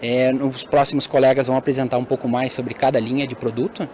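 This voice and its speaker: A man's voice: medium pitch, level tone, fast talker, darkish tone, a little hiss or hum. A man presenting his company's monitoring system in a webinar, hesitant with fillers and self-corrections.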